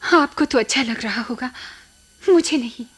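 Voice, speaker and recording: An actress playing a despairing, wronged young wife in a radio play, melodramatic and pleading. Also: female voice, a little high, unhurried, husky. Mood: bitter, despairing. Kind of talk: Hindi radio play acting.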